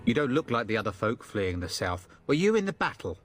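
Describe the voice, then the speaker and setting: A man voicing a gruff, unwelcoming village man in a fantasy video game, curt and sardonic. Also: medium pitch, big pitch swings, fairly quick, fairly bright tone, soft background music. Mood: wary, grudging.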